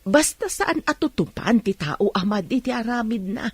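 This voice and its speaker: A woman playing a kind, patient middle-aged village mother in a radio drama, with a Filipino Ilocano accent and a measured, gently advising manner. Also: on the high side, animated, slightly rough, dry recording.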